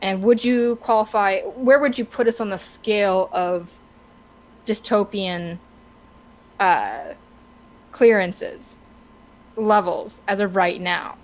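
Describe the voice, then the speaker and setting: A woman co-hosting a casual podcast, talking through her opinions in a halting, wordy way as she searches for words. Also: medium pitch, rough recording. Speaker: podcast co-host.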